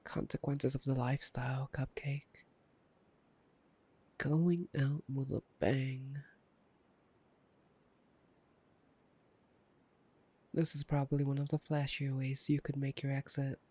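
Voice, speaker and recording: A taunting male vampire, acted by a man, speaks in a slow, gravelly drawl with little rise or fall. He is playful and predatory, teasing the listener with soft menace in a close, dry ASMR roleplay. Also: medium pitch, level tone, no echo. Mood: soft, wistful teasing. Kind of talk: intimate ASMR roleplay monologue.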